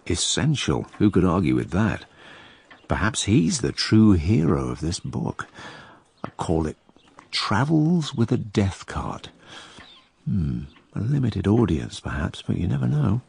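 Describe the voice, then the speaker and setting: A man playing a sardonic writer narrating his plague journal in a radio drama, dry, musing and gravelly. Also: huge pitch swings, measured pace, a little mellow, full character voice. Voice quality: deep, gravelly.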